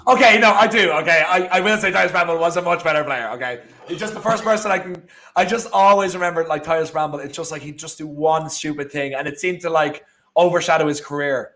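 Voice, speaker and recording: A man's voice: fairly high pitch, fast talker, pushed and bright. A man with an Irish accent co-hosting a live football watchalong, chatting casually and rambling with frequent restarts. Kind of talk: casual live match chat.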